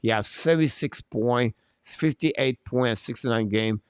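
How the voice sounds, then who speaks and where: A man's voice: medium pitch, measured pace, smooth, dry recording, clean studio sound. A man hosting a live hockey stream, giving unscripted, emphatic opinions and answering chat. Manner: opinionated, emphatic, repeats points.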